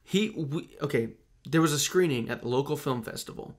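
A young man with a high-pitched voice, co-hosting a casual podcast and telling stories in an animated way, laughing often. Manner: animated storyteller, quick laughs. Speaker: podcast co-host.

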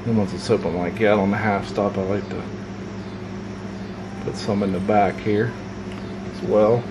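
A man with a fairly low voice talks through a pocket knife in a relaxed, rambling, folksy way, filling his pauses with "uh" and "you know." He is at a workbench at home, recorded on the camera mic from a little off-frame. A steady hum of room noise runs under his voice. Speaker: knife reviewer. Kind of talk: casual hands-on product review. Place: home workshop desk, indoors.

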